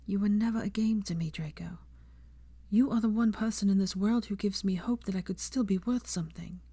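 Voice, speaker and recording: A woman voicing an earnest, emotional young woman in a dramatic audiobook, high-strung and vulnerable. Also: brisk, warm tone, slightly rough. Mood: whispered, tender. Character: emotional young woman, outraged yet tender and remorseful. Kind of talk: dramatic audiobook character acting.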